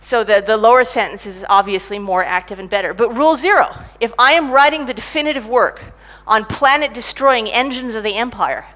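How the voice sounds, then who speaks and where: A middle-aged woman giving a conference talk about technical writing, lively and self-mocking as she tells stories. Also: on the high side, a little fast, few pauses. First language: English. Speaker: conference speaker, technical writer.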